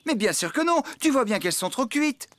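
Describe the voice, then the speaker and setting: A man's voice: very high voice, very animated, fairly quick, a bit bright, full character voice. A man voicing a hapless, easily flustered farmhand in a preschool cartoon, very expressively. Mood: dismayed. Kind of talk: dubbed preschool cartoon acting.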